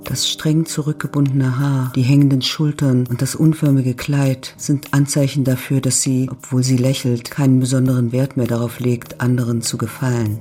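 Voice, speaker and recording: A man reading a scripted literary narration for an audio drama in a measured, descriptive way. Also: mid-range voice, little pitch movement, mellow. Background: music.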